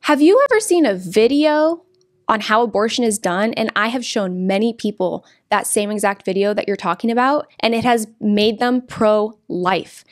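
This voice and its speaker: A woman's voice: medium pitch, normal speed. A young woman guest on a studio podcast, speaking earnestly and emphatically about her faith, close to a desk microphone. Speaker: pro-life activist guest. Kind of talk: podcast interview. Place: studio with green curtains. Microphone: desk podcast mic on boom arm.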